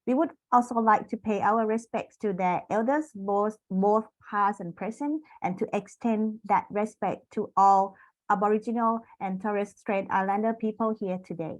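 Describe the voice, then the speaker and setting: Casting: woman, mid-pitched, lecturer on a video call, measured and formal delivery. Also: fairly even intonation, low-quality recording. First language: Thai. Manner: measured and formal, with small repeats. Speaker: university lecturer. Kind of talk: formal acknowledgement of country.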